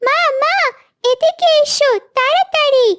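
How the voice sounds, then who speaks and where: A sweet little girl bird from a cartoon. The voice is extremely high and childlike, recorded dry. She sounds eager, earnest and reassuring. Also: unhurried, fairly bright tone, dry recording.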